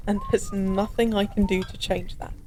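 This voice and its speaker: A woman's voice: mid-range voice, steady tone, normal speed, darkish tone, lightly acted, rough recording. A woman playing a drunk, melancholy stranger who was once a god, confiding in a wistful, rambling, hesitant way in an intimate audio roleplay. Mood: wistful, sorrowful. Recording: clear background ambience noise. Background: bar ambience.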